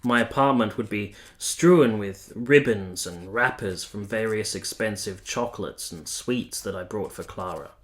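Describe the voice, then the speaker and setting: A man playing a devoted army captain in a period radio play, speaking in a measured, articulate, reflective way. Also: on the low side, natural voice. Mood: wistful, fond. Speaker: narrator and lead character. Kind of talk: radio play acting with reflective scripted narration.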